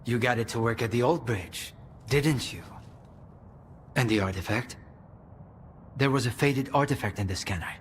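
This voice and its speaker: A man's voice: medium pitch, moderate pace, pauses often, natural voice. A man playing a polite, composed, probing official in a formal video game cutscene. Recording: long hall reverb, faint noise.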